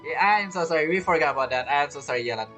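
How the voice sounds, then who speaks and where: A man's voice: fairly high pitch, animated, racing, fairly bright tone, faint music behind. A young man giving chatty, playful commentary over a game playthrough. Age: young adult. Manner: chatty, playful, reacts to the characters. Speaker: gaming streamer.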